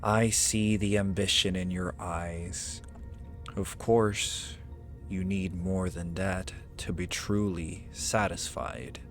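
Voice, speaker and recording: A man playing an ancient, proud male dragon in an ASMR roleplay, speaking in an imperious, deliberate way with archaic, formal phrasing. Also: deep voice, steady tone, a bit bright. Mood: intrigued, approving. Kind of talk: ASMR roleplay, slow theatrical monologue. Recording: music behind the voice. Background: music.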